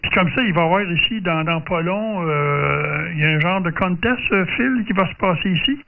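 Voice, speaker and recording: Muffled, gravelly Quebec man on radio. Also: high-pitched, moderate pace, a little hiss or hum. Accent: Quebec French. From Quebec, Canada. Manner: hesitant, rambling, repeats himself. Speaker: amateur radio operator running a group contact. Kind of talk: casual radio chat. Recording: muffled distant lo-fi radio audio.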